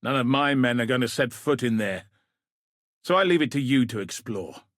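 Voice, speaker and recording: An actor playing a gruff, dutiful military commander in a video game, with a husky, rough-edged voice and a grave, dry, clipped delivery. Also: male voice, mid-range voice, animated, normal speed, a bit bright, dry recording, natural voice. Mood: grave, resolute. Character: gruff, dutiful male military commander sending a warrior into danger. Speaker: video game character, quest giver. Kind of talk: scripted video game voice acting.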